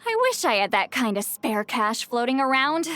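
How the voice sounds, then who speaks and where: Flustered, proud ex-commander. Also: female voice, on the high side, very animated, bright, forceful tone. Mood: wry. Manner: guarded, quick to fluster, wry. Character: proud woman, former commander, defensive and easily flustered. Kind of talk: video game voice acting. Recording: game audio over faint music.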